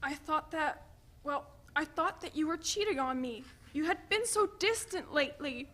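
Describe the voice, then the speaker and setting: A woman's voice: high voice, expressive, moderate pace, a bit bright. A woman in a dramatic play reading, playing an insistent, apologetic partner in a troubled relationship. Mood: apologetic, pleading.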